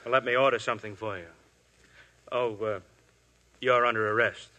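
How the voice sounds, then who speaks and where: An actor playing a brisk police inspector with a dry, ironic manner in a 1950s radio drama. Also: male voice, medium pitch, steady tone, heavy background noise, natural voice. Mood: dryly brisk.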